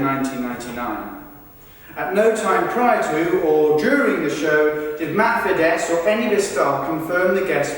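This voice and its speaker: A man with a fairly high voice performs a rehearsed documentary-style stage monologue. He is well away from the microphone, and the large room wraps his words in heavy echo and long reverb. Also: slight background noise. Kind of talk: rehearsed verbatim theatre monologue. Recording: distant, very echoey rehearsal hall.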